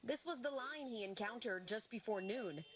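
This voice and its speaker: A woman's voice: on the high side, animated, moderate pace. A woman field reporter delivering a live television news report from outdoors in a parking lot.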